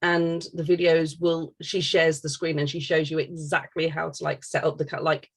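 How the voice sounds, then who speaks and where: A woman's voice: low voice, level tone, normal speed, husky. A woman hosting a casual, chatty podcast. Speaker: podcast host reading listener questions.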